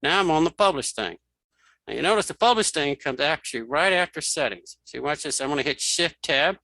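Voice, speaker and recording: A man giving a casual, step-by-step software tutorial, informally narrating what he does as he goes. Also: a little high, animated, fairly quick, gravelly, no room sound, poor audio. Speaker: tutorial host.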